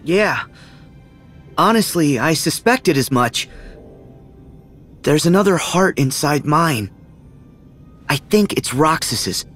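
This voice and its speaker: A man's voice: on the high side, a bit bright, exaggerated voice. A man playing an upbeat, sincere teenage boy hero in a video game, with a youthful voice. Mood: earnest, determined.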